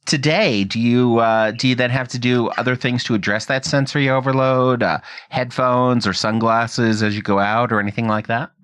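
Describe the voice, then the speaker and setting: A man's voice: mid-range voice, fairly even intonation, moderate pace, few pauses, fairly bright tone, clear, resonant tone. A man hosting a conversational interview, asking questions and explaining things in a reflective way. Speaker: podcast host interviewing a guest.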